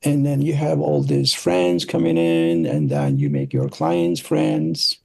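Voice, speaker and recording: A middle-aged man with a Turkish accent, telling stories casually as an interview guest, often halting and searching for words. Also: medium pitch, very animated, creaky, darkish tone, a little hiss or hum. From Turkey. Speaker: interview guest, product designer. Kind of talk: casual conversational storytelling.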